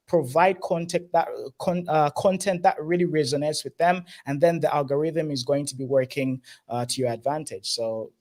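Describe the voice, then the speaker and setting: Young man with a squeaky voice. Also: fairly even intonation, moderate pace, hardly any pauses, a little mellow. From Zambia. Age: young adult. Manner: earnest, explanatory, many fillers and restarts. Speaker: guest, entrepreneur. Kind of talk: online interview, advice talk.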